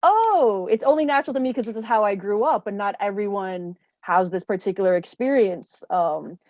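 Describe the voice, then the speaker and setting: A woman's voice: mid-range voice, animated, normal speed, a little mellow, no echo, lo-fi sound. A woman from Chicago, an author interviewing a guest in a casual, hesitant way with many fillers. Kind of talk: conversational interview.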